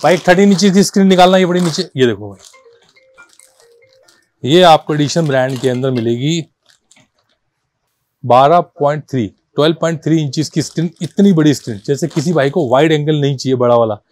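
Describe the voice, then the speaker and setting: A man gives a casual, persuasive sales pitch as a shop seller, often repeating himself and mixing languages informally. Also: a little fast, pauses often, a little mellow. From India. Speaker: car accessories shop seller and presenter.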